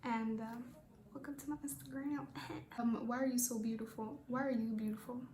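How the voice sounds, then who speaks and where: A young woman with a somewhat high voice talks in a flat, even way while she does her makeup and answers questions, easygoing and rambling, swearing casually. Her voice bounces off the walls of an echoey room, with a faint hiss underneath. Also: moderate pace. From Rwanda. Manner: laid-back, rambling, swears casually, many fillers. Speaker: beauty vlogger. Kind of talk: casual get-ready-with-me makeup chat and Q&A.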